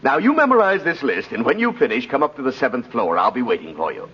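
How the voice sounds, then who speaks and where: An actor playing a fussy, officious middle-aged toy department manager in a 1950s radio drama, with a husky voice and hesitant manner. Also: male voice, mid-range voice, very animated, fast, noisy background, lightly acted. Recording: dull old radio recording.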